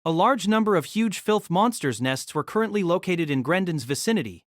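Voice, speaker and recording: A man's voice: high voice, animated, a little fast, dry recording, studio quality. A man narrating a fantasy novel as a dramatic, scripted audio drama.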